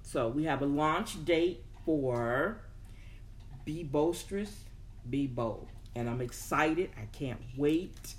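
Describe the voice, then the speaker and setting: Casual motivational host, mid-pitched voice. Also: slow pace. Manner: informal, thinking aloud, repeats words for emphasis. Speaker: host of a personal development show. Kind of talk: casual motivational talk to an audience.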